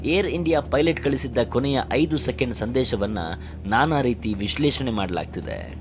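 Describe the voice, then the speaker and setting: A man delivers a scripted news voice-over. His medium-pitched voice sits over a prominent music bed, in the manner of a TV bulletin report. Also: animated, normal speed. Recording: loud music bed behind voice. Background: prominent news background music.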